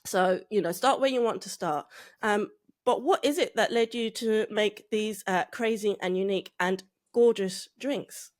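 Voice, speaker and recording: A woman hosting a podcast interview in a bubbly, effusive way, a little croaky from a cold. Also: mid-range voice, normal speed. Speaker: podcast host.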